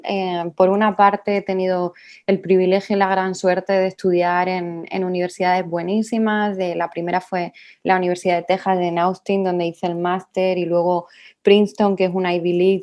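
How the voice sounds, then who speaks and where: A woman's voice: fairly low pitch, a little mellow. Spanish woman with an Andalusian accent. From Spain. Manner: thoughtful, many small restarts and tag questions. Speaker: writer being interviewed. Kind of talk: reflective interview answers.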